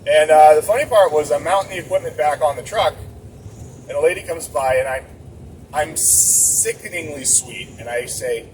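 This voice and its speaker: A chatty trucker talks to the camera as he drives, rambling and joking at his own expense. His voice sits a little high and reaches a mic set back in the cab. Engine and road noise rumble clearly behind him. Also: normal speed, a little mellow, far from the mic, poor audio. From Boston area. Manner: rambling, chatty, self-mocking. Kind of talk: casual vlog while driving. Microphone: camera mounted inside the cab.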